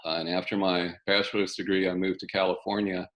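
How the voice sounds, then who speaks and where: Halting low-voiced man in his sixties. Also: level tone, fairly bright tone. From South Dakota, US. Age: 60s. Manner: measured, halting, with many restarts. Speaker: panelist, fire scholar. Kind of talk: online panel presentation. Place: home basement. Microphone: video call.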